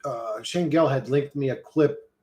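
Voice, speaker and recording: A man's voice: mid-range voice, a little slow, darkish tone. A man streaming live, chatting casually with his viewers, swearing freely and calling everyone bro. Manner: loose, profane, says "bro" a lot; rambling, reads and answers chat.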